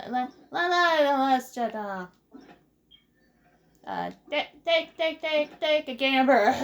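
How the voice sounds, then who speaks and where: Casting: woman gaming host, high lively voice, rambling and playful, casual commentary. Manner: playful, rambling, sing-song exclamations. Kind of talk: casual Let's Play commentary.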